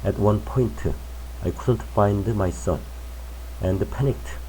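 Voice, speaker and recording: A man reading a magazine article aloud for English learners in a careful, measured way. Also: lowish voice, dark tone, slight background noise, low-quality recording. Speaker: English news reader.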